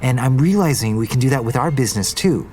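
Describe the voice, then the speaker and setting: A man's voice: mid-range voice, animated, quick pace, warm tone, soft background music, natural voice. An actor playing an idealistic young male business heir in an audio drama, earnest and conversational. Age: young adult.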